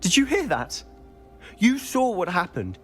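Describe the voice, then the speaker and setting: Anguished teenage wizard, highly expressive. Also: male voice, a little slow, music in the background, full character voice. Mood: distraught, desperate. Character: anguished teenage wizard boy, defensive and desperate. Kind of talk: dramatic video game cutscene acting.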